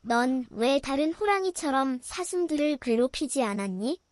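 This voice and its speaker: A woman voicing a curious, gentle monkey in a bedtime storybook reading, asking soft questions. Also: high voice, expressive, moderate pace, no room sound, pitched above the performer's own voice. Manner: softly questioning.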